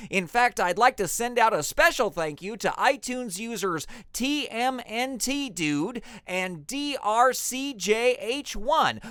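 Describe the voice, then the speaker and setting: A male podcast host delivers upbeat scripted announcements. His pitch moves in lively swoops, and the close, dry recording has light music behind it. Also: no room sound.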